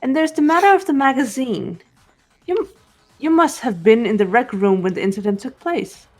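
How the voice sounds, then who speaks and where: A woman voicing a calm, cool-headed young female detective who lays out her reasoning in a fan dub of a game. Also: a little high, soft background music. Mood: accusing. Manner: measured, explanatory.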